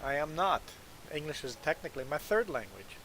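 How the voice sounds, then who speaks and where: A man's voice: a little high, very animated, fairly quick, fairly bright tone, a little hiss or hum, low-quality recording. A man, an English speaker, chatting casually and self-deprecatingly with viewers as a game streamer. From Belize. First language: Plautdietsch (Mennonite Low German). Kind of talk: casual live-stream chat.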